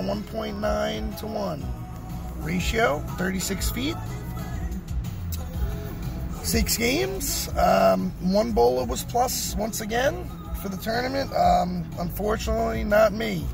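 A man's voice: high-pitched, gravelly. A middle-aged man giving a casual recap to camera, repeating his phrases for emphasis, recorded on a phone inside a car. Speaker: bowler and vlogger. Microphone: phone at arm's length.

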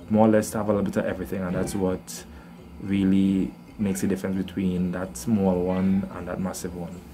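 A man's voice: deep voice, a little mellow. A young man with a Trinidad and Tobago accent, chatting to camera about his fishing gear and often checking in with "right?", recorded indoors on a close camera. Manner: chatty, explains with "right?" tags and fillers. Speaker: fishing vlogger. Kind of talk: casual gear walkthrough to camera. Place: indoors by wooden doors.

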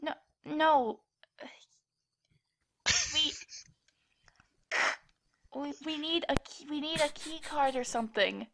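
A woman's voice: high-pitched, takes it slowly, long pauses, fairly bright tone, slight background noise. A woman playing a tabletop role-playing game with casual banter.

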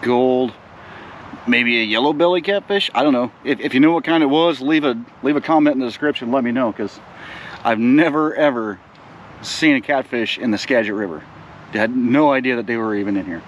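A man in his forties with an ordinary mid-pitched voice talks outdoors by a river, picked up by a camera set a few steps away. He keeps up a casual running chat to his viewers and turns eager and urgent when coaching a child to reel in. A faint outdoor hum sits behind him. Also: fairly quick, muffled recording. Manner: excited, urging a child to reel in; chatty asides to viewers. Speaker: fishing vlogger, father. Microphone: camera on the bank, a few steps away.

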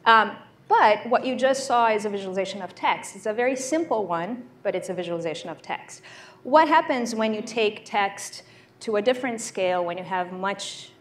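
A woman's voice: mid-range voice, normal speed, slight background noise. A woman with a Brazilian accent giving a conversational, explanatory keynote demo in a large lecture hall. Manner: conversational, explanatory, frequent ums. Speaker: conference keynote speaker. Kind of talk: live demo presentation.

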